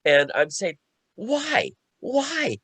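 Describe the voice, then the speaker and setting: A man's voice: high voice, very animated, extremely slow, fairly bright tone, slightly rough, no echo. A man talking casually on a panel as a co-writer, often repeating himself and restarting phrases as he recounts a creative process. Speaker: radio play co-writer and panelist.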